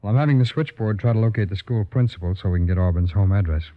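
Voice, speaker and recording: A man's voice: fairly low pitch, quick pace, background noise, natural voice. An actor playing a businesslike, deferential American lawman reporting to his superior in an old radio crime drama. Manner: businesslike, matter-of-fact. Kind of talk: 1940s-50s radio crime drama acting. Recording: tinny, narrow-band old radio recording.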